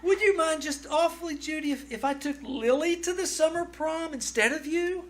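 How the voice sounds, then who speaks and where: A woman playing an earnest, lovesick high school boy who stammers dreamily, in 1940s radio comedy style. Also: normal speed, natural voice. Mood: pleading, dreamy. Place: live on stage in a small theater.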